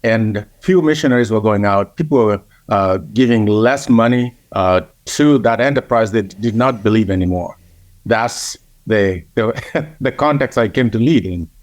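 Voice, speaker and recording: A middle-aged man from Kenya, speaking as an interview guest in a measured way with frequent pauses. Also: lowish voice, no room sound. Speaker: interview guest, organization president. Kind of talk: conversational interview.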